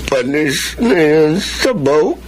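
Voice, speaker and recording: Isang lalaking gumaganap bilang nakaratay na maysakit, nanghihina at dumaraing, sa dramang panradyo. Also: male voice, a little high, sing-song, takes it slowly, slight background noise, low-quality recording. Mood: feeble, complaining. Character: bedridden sick man, feeble, slow, plaintive invalid. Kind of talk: Tagalog radio drama acting.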